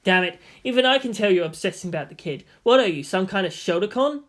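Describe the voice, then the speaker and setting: A woman's voice: medium pitch, animated, fast talker, lightly acted. A woman voicing a stern, irritable female scientist with a curt, sardonic manner. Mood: threatening, sardonic.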